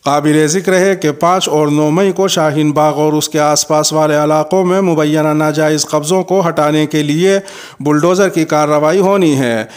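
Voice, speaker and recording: A male news presenter with a fairly high, very clean and smooth voice. He reads scripted bulletins formally and keeps a measured delivery. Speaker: news presenter and host of the show.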